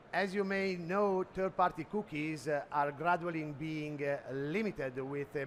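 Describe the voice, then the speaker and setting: A man's voice: fairly high pitch, slight background noise. Italian-accented man giving an expo talk. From Italy. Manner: hesitant, many "uh" fillers. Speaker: conference speaker. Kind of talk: trade expo presentation. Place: expo hall stage.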